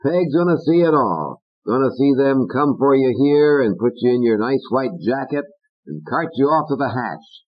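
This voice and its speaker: A man voicing a foul-mouthed, bullying male ventriloquist dummy in an audiobook, shrill and sneering. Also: animated, moderate pace, highs cut off, lightly acted. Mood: mocking. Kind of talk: audiobook character voice.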